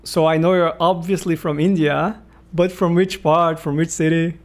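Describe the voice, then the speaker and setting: A man's voice: a little high, little pitch movement, normal speed. A man hosting an interview, reading a formal guest introduction and then asking friendly, encouraging questions. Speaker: interview host. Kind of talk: podcast interview.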